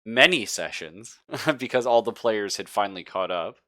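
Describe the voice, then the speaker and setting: A man's voice: fairly low pitch, lively intonation, moderate pace, pushed and bright. A man speaking as a game master, bantering casually with his players in a jokey, profane way. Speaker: game master of a tabletop RPG stream.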